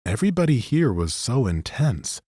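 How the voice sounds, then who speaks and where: A male narrator reading a fantasy audiobook in a steady, even storytelling style. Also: medium pitch, normal speed, husky, no echo, clean studio sound.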